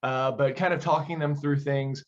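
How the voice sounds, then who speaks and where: A man's voice: mid-range voice, steady tone, normal speed, lo-fi sound. A man answering interview questions conversationally as a director, explaining things and often posing rhetorical questions. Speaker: audio play director being interviewed.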